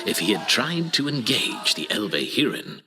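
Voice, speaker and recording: A man's voice: on the low side, big pitch swings, moderate pace. An actor playing an old male archivist who tells war history in a theatrical, conversational storytelling style for an audio drama. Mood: wry explaining.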